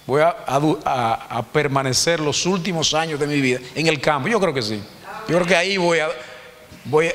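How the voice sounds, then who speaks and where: A man's voice: medium pitch, fairly bright tone. Dominican man in his fifties, reverberant hall. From Dominican Republic. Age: 50s. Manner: conversational, joking, asks the congregation "¿verdad?". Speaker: church teacher. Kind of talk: informal Sunday teaching. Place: church hall.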